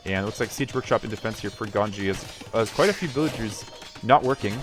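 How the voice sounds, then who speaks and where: A man's voice: fairly low pitch, quick pace, over music. A man giving casual live commentary on a video game match, analytical and tentative as he thinks aloud.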